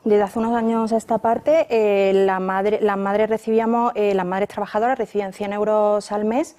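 Woman with a mellow voice, musing aloud. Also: medium pitch, steady tone, moderate pace. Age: around 30. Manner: thoughtful, with frequent fillers and restarts. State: pregnant. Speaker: interview guest, journalist. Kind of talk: conversational interview answers.

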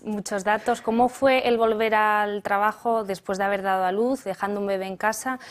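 A woman interviewer asking calm, probing questions in a conversational interview. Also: medium pitch.

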